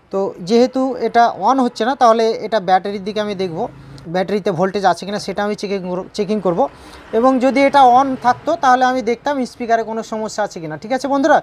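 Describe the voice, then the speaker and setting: Very fast-talking woman, noisy recording. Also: mid-range voice, darkish tone. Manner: repetitive, checking in with viewers with tag questions. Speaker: repair tutorial presenter. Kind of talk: informal hands-on repair tutorial narration.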